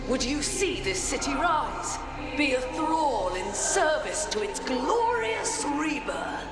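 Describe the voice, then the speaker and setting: A woman's voice: high-pitched, sing-song, takes it slowly, full character voice. A woman playing a menacing, zealous blood-magic cultist in a video game, with a grandiose, commanding delivery. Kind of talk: dramatic video game acting. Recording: echoey, distant, under very loud music.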